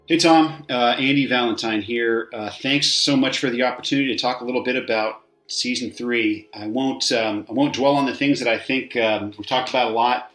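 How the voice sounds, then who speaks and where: A man's voice: mid-range voice, normal speed, few pauses, fairly bright tone. A man giving casual, off-the-cuff spoken commentary as a listener. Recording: echoey, roomy sound.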